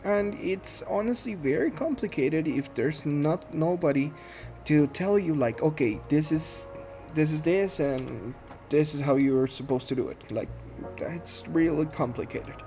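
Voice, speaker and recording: A man in his thirties from Guatemala, speaking English with a Guatemalan Spanish accent, introducing himself in a shy, halting way full of ums and restarts. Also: a little high, animated, soft background music. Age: 30s. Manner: shy, soft-spoken, earnest. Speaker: gaming channel host. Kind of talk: casual self-introduction while playing a game.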